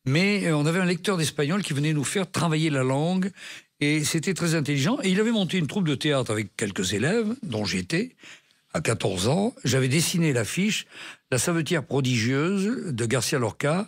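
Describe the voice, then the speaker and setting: Older husky-voiced man telling stories. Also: mid-range voice. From France. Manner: hesitant restarts, animated retelling. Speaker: radio guest. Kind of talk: anecdotal interview storytelling. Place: radio studio.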